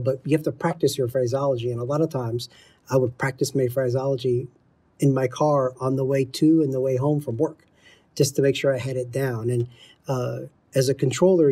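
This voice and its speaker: A man's voice: medium pitch, mellow, breathy edge. A middle-aged man explaining training methodically, as an instructor answering interview questions. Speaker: air traffic control instructor, interview guest.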